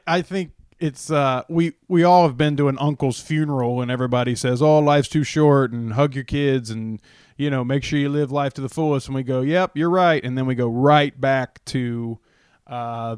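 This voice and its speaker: Smooth-voiced man interviewing. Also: medium pitch, normal speed, no room sound, clean studio sound. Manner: rambling, animated questions with restarts. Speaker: podcast host. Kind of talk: conversational interview.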